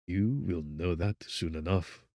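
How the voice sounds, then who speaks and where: A surly Irish townsman, played by a male narrator in a gothic audiobook. The voice is very deep and hoarse. He speaks curtly, with open threat, in a dry recording. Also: unhurried, warm tone, no echo. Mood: menacing. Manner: curt, threatening. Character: surly, hostile Irish townsman, gruff and menacing.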